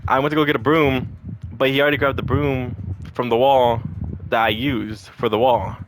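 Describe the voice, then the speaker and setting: A man's voice: medium pitch, normal speed, slight background noise. A young man venting about his boss in a rambling, profane storytime, talking to a camera at home. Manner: profane, rambling, animated retelling. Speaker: vlogger.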